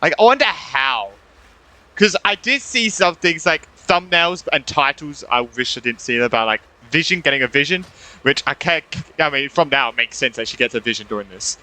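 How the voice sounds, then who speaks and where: A male gaming streamer reacts casually in a fairly high, bright voice. He rambles and repeats himself, with lively swings in pitch. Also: normal speed. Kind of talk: casual live game reaction commentary. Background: game music.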